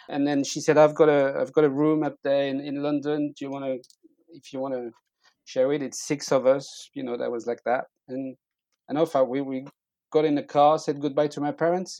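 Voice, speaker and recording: A man's voice: mid-range voice, mellow, dry recording, poor audio. French-accented middle-aged man, dry close sound. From eastern France. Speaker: interview guest. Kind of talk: personal storytelling in casual conversation.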